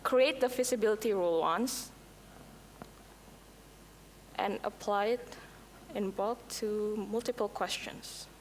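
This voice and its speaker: A woman whose first language is Indonesian, presenting a scripted software demo at a conference, with a few slips and restarts. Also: medium pitch, takes it slowly, pauses often, a little hiss or hum. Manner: reads prepared lines, occasional slips and restarts. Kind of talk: scripted product demo talk. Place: conference hall. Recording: long hall reverb, faint ambience.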